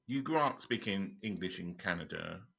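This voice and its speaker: A man's voice: sits low, expressive, normal speed, creaky, a bit bright, husky. A man from Europe hosting a casual interview, asking long rambling questions with many restarts. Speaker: host.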